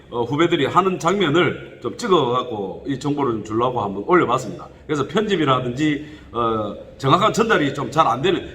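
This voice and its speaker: A middle-aged man speaking with a regional Korean dialect, giving casual spoken welding instruction in a rambling, animated way full of fillers. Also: big-room sound. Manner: animated, rambling, with frequent fillers and pitch jumps.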